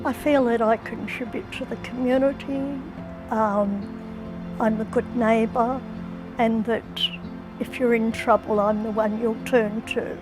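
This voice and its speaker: A woman's voice: on the high side, fairly even intonation, unhurried, low-quality recording. An older woman giving a personal testimony. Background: music.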